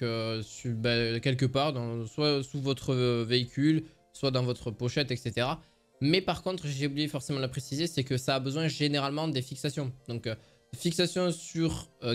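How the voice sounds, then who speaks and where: Man casually reviewing gear over music. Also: mid-range voice. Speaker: product reviewer presenting motorcycle gear. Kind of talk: casual semi-improvised product review voice-over.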